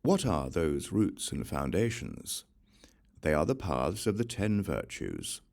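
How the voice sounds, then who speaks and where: A man with a remarkably deep, gravelly voice reads scripture aloud for an audiobook. His delivery is measured and solemn. His pitch still moves expressively through each phrase. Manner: measured, solemn reading with lively rises and falls. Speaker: audiobook reader.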